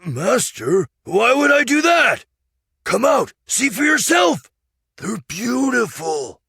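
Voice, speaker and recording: A man reading a storybook, voicing an excitable, anxious male squire in a high, husky put-on voice with eager, shouted pleas. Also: slow pace, no room sound, clean studio sound, exaggerated voice. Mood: excited pleading.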